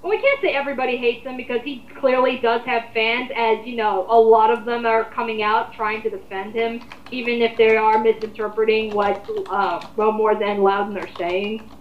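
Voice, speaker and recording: A woman with a high-pitched voice talks in a roomy, muffled home recording. She co-hosts a commentary, rambling informally through her opinions. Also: fairly even intonation, normal speed, few pauses, slight background noise. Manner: rambling, informal, occasional swearing. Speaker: co-host of an online commentary. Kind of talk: casual opinionated chat.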